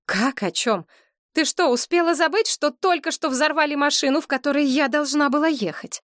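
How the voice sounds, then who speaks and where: A woman's voice: high voice, normal speed, no room sound, studio quality, pitched above the performer's own voice. A woman voicing a fierce young woman who accuses relentlessly in a heated, cutting voice. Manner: heated, hissing through teeth when quiet.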